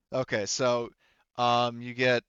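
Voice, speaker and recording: A man's voice: mid-range voice, fairly bright tone, clear, resonant tone, dry recording, dull audio. A man acting as game master, narrating a tabletop game casually and with frequent swearing. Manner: casual, profane.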